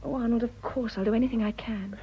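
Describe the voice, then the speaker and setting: An actress playing an unhappy, conscience-stricken young wife with an upper-class English accent in an earnest, emotionally fraught radio drama style. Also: female voice, fairly high pitch, steady tone, quick pace, husky. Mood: distressed, grateful. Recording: muffled, dull radio drama recording.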